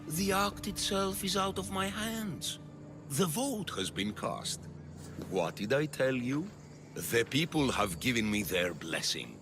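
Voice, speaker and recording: An actor playing a smug, scheming politician villain in an ancient Greek video game, speaking in a theatrical, mocking, deliberate way. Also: male voice, mid-range voice, very animated, noisy background. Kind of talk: dramatic video game cutscene acting.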